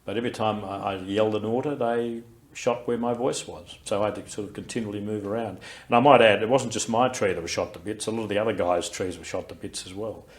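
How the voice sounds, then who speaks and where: An older Australian man being interviewed, recalling his experiences in a slow, reflective way with frequent fillers and restarts. He has a loud, carrying voice. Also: lowish voice, fairly even intonation. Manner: reflective, unhurried recollection with many fillers and restarts. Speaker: interviewee, war veteran. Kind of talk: recorded oral history interview.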